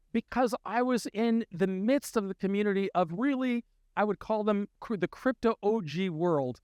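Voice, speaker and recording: A man hosting an interview, asking measured, gracious questions of his guest. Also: high-pitched, clear, resonant tone, dry recording, clean studio sound. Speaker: interview host. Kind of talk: conversational interview.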